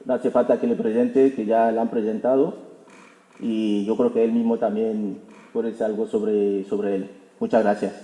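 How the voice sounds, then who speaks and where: A man telling an anecdote to an audience in a halting way, often repeating his words. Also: fairly low pitch, level tone, mellow, slight background noise. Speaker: intercultural mediator presenting the event. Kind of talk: informal public talk with anecdote. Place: auditorium hall. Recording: echoey hall recording.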